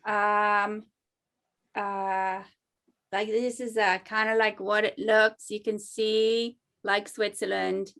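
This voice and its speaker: A woman hosting a casual interview, relaxed and hesitant with many fillers, reading out her questions. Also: mid-range voice, slow pace. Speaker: host.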